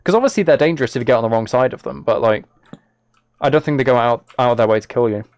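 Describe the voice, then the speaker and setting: A man's voice: medium pitch, animated, fast talker, darkish tone, clear, resonant tone. A British man chatting casually on a game livestream, talking to viewers in a mock-exasperated, self-deprecating way. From United Kingdom. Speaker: live streamer.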